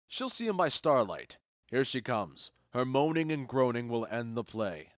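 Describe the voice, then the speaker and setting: A man's voice: mid-range voice, sing-song, moderate pace, no echo, studio quality, natural voice. A man playing a wry, genial noble duke in an audio drama, assured and courtly. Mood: wry amusement. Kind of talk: audio drama Shakespeare in modern English.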